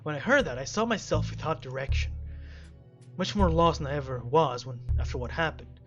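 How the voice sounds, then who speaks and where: A man's voice: fairly high pitch, animated, brisk, lo-fi sound, soft background music, natural voice. A man voicing a grieving, determined male detective in a visual novel, speaking earnestly and intensely. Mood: grave, grieving.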